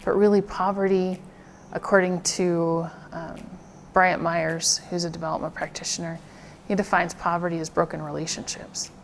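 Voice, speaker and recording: A woman's voice: lowish voice, flat delivery, slight background noise. Thoughtful American woman missionary. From United States. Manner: thoughtful, halting, repeats words. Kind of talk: reflective interview.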